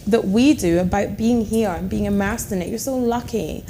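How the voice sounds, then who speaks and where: Woman guest, from Ghana, emphatic and encouraging, mid pitch, noisy studio. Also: normal speed, dark tone. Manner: emphatic, encouraging, many small agreeing noises. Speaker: guest, broadcast journalist. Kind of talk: casual studio chat.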